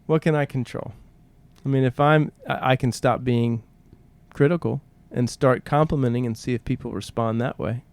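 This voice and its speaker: A man's voice: medium pitch, fairly even intonation, normal speed, gravelly, no room sound. A man co-hosting a podcast, speaking in an earnest, reflective conversational style and often voicing questions and quotes. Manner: earnest, poses rhetorical questions, acts out quotes. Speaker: podcast co-host.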